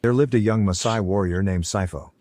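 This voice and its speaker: A man's voice: fairly low pitch, fairly quick, darkish tone, dry recording. A man narrating a scripted folk tale as a storyteller.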